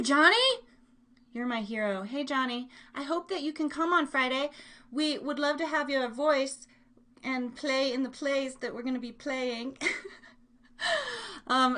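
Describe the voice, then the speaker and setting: Female podcast host, higher-pitched voice, chatty and rambling, playful and self-mocking, talking off the cuff to live listeners. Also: a bit bright. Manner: playful exaggeration and silly made-up words. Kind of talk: casual live chat show, talking to listeners in chat.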